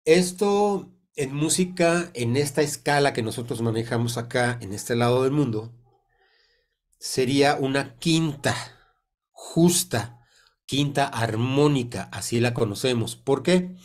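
A man in his sixties with a Mexican Spanish accent, congested from a cold, teaching informally over a video call in a halting, deliberate way with many pauses. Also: mid-range voice. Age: 60s. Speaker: sound therapy teacher. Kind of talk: informal explanatory online talk. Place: indoor room on a video call. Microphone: computer mic on a video call.

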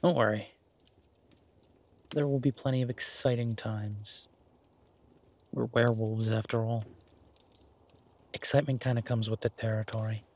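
A man playing a tender, teasing, easygoing werewolf boyfriend in an intimate romantic audio roleplay, murmuring softly with a husky voice. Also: fairly low pitch, steady tone, measured pace, long pauses, a little hiss or hum. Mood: playful, affectionate.

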